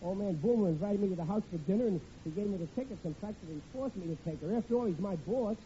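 Good-natured, penniless young American clerk, played by a man, bumbling and slangy; dark, dull old radio sound with faint noise. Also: high voice, rapid-fire, lightly acted. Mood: joking. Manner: hesitant, slangy. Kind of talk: old-time radio sitcom acting. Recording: muffled, noisy vintage radio recording.

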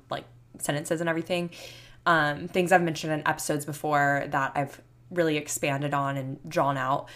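A woman's voice: deep voice, fairly bright tone. A woman in her mid twenties hosting a solo podcast in a chatty, rambling, casual way. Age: 20s. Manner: chatty, rambling, youthful filler-heavy talk. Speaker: podcast host.

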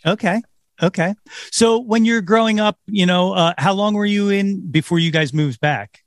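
A man hosting a podcast interview, asking questions hesitantly with many fillers and quick affirmations. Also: high voice, dry recording, studio quality. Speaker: podcast host. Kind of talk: casual interview.

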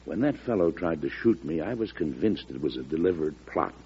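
A gravelly, genial old-time radio president. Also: male voice, rumbling low, lively intonation, a little fast, exaggerated voice. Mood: earnest, conciliatory. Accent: American. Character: genial, shrewd older male president, magnanimous and teasing. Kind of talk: 1940s radio drama acting. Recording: muffled old radio recording.

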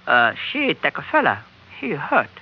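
An actor playing a cheerful, sly islander trader who feigns simplicity, speaking coyly in gleeful pidgin in an old-time radio drama. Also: male voice, a little high, wildly swooping pitch, unhurried, slightly rough, heavily acted. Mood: coy, coaxing. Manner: pidgin speech, gleeful, coy. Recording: dull, lo-fi old radio recording.

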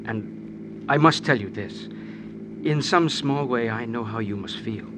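A man's voice: mid-range voice, unhurried, noisy background, natural voice. An actor playing an earnest, ingratiating young man in an old-time radio drama, formal and insistent. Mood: fawning, apologetic. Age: young adult.

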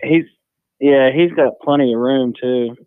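A man with a hoarse, slightly raspy voice, rambling laid-back in casual chat. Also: mid-range voice, unhurried. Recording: tinny phone-like line.

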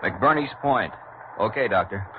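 A man's voice: lowish voice, animated, measured pace. A man acting a dutiful shipboard radio operator in a vintage radio drama, careful and obedient. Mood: attentive. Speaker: actor in a radio medical drama. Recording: muffled, noisy old radio transmission.

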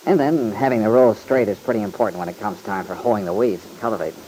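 A man's voice: lowish voice, fast talker, mellow, natural voice. An actor playing an easygoing, modest young country man with an American accent, folksy and a little bashful, in old-time radio drama. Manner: folksy, slightly bashful, hesitant. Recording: noisy vintage radio recording.

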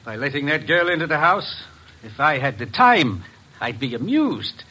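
Husky, haughty, menacing rich gentleman. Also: on the high side, very animated, moderate pace, exaggerated voice. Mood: cold, threatening. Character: haughty, menacing wealthy older gentleman, coldly theatrical. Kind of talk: old-time radio drama acting.

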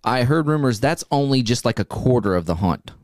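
Male podcast co-host, mid voice, relaxed and chatty; dry close mic. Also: mid-range voice, a little fast, dry recording. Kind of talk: casual podcast chat.

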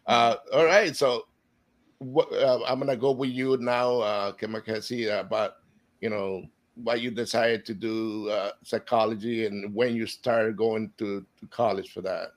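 A middle-aged man hosting a casual podcast interview, easygoing, with halting, filler-laden questions. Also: lowish voice, fairly even intonation. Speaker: podcast host.

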